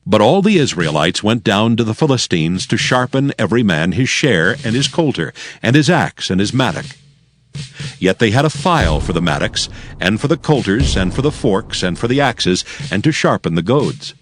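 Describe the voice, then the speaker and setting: Low-voiced male scripture narrator. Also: sing-song, normal speed, a little hiss or hum.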